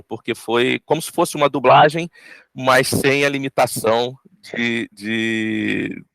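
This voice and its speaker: Brazilian man with a creaky voice. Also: medium pitch, dry recording. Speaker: voice actor interviewed about an audio drama. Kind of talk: reflective interview answer.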